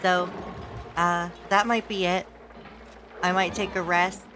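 A woman streaming a game with casual, playful commentary, laughing often, her voice a little hoarse and sore. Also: on the low side, fairly even intonation, slow pace, background noise. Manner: giggly, playful, exclaims at the game.

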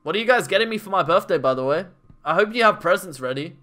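A man's voice: high-pitched, lively intonation, fast talker, pushed and bright. A man in his twenties reacting casually on a stream, bantering loosely and profanely with his chat over a headset mic. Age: 20s.

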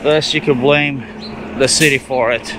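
A man walking city sidewalks, narrating a vlog in halting, rambling commentary with loose grammar. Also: medium pitch, fairly even intonation. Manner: rambling, halting, with ungrammatical phrasing. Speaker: vlogger. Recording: outdoor street noise.

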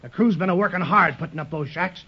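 An actor playing a rough, bossy, short-tempered villainous sailor with a gruff, salty way of talking, in old-time radio adventure style. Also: male voice, high voice, fast talker. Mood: scheming. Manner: gruff, salty uneducated seaman's talk. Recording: dull lo-fi old radio recording.

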